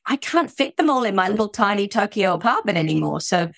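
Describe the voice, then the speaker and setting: A woman's voice: mid-range voice, big pitch swings, quick pace, vocal fry, fairly bright tone, dry recording, studio quality. Chatty Australian woman guest. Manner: chatty, with many restarts and "you know". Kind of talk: conversational interview.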